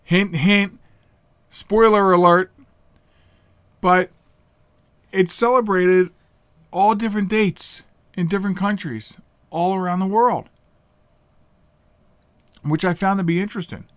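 Congested high-voiced radio host. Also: male voice, slow pace, long pauses, a bit bright, smooth, no room sound. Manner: rambling, chatty, with self-corrections and filler words. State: a little congested, nasal from pollen. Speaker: radio talk show host. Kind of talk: casual solo radio monologue.

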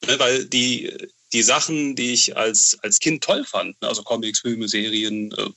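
A man's voice: medium pitch, fairly even intonation, measured pace, vocal fry, pushed and bright, rough recording. A man in his thirties chatting casually as an interview guest, often tagging his phrases with a questioning filler. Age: 30s. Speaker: horror novel author, interview guest.

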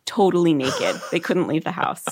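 A middle-aged woman with a deep voice, talking thoughtfully in a conversational interview and laughing easily. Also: normal speed, a little mellow, husky. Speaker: podcast host, guest.

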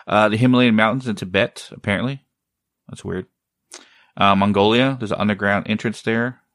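A man talks in a low voice, loose and meandering. He often stalls to search for the right word. The sound is dry, with no room echo. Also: steady tone, normal speed, a bit bright. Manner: rambling, often searching for words. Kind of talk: casual chat.

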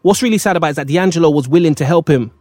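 A man talks casually with a co-host, his voice sitting a little high for a man. He is reflective and often repeats a phrase while he finds his point. The sound is dry and close. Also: racing, darkish tone, no room sound. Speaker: podcast co-host. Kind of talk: casual chat.

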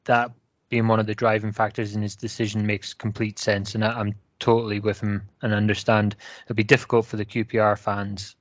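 A man's voice: fairly low pitch, level tone, fairly quick, no room sound, muffled recording. A man from Wales who speaks with a Scottish accent, a podcast guest answering thoughtfully with frequent restarts. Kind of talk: casual podcast chat.

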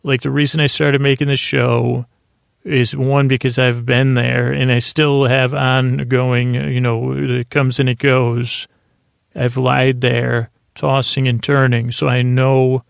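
A man hosting a bedtime podcast in a creaky voice, meandering and halting as he trails off mid-thought. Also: mid-range voice, no echo, studio quality. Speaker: podcast host. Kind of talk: rambling bedtime monologue to help listeners sleep.

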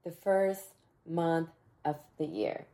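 A woman with a raspy voice hosting a motivational podcast, talking casually and mentoring her listeners, often repeating phrases. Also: sits low, steady tone, takes it slowly. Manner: warm mentoring tone, repeats phrases, bursts of laughter. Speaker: podcast host.

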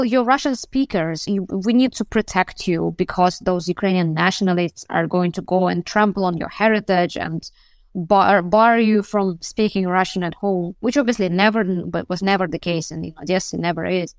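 A woman's voice: fairly low pitch, moderate pace, dry recording. Woman on a remote call, dry sound. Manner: thoughtful, searching, frequent restarts. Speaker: foreign correspondent guest. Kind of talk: news podcast interview answer.

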